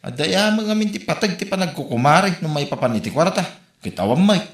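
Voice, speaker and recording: A man with an Ilocano accent, playing a calm husband who gives his wife practical advice. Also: a little high, brisk, echoey room. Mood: advising. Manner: steady, advising.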